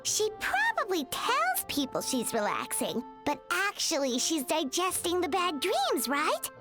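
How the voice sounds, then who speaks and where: A woman voicing a small, excitable, childlike fairy companion with a chirpy manner in a video game. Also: very high voice, measured pace, full character voice. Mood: curious. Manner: chirpy, refers to self in third person. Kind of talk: animated video game voice acting. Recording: game music behind.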